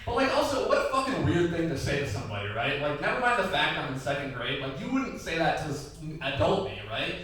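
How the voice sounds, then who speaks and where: Young man, stand-up comic, bright forceful voice, distant mic in a reverberant tavern room. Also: fairly high pitch, very animated, fast, few pauses. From Iraqi family, raised in the Midwest. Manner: loose, conversational storytelling with many "like"s and acted quotes. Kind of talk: stand-up set. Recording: echoey bar room recorded from afar.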